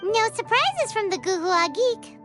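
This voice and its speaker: Squeaky, cheeky little fairy. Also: female voice, sing-song, moderate pace. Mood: teasing. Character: tiny, cheeky, excitable childlike fairy companion. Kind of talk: video game character dialogue. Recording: game music behind the voice.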